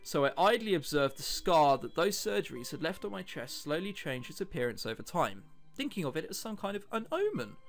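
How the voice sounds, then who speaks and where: Animated British man, high-pitched and lively. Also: brisk, few pauses, soft background music. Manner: jokey, chatty asides between read passages. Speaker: let's play host. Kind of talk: reading a visual novel aloud with casual commentary.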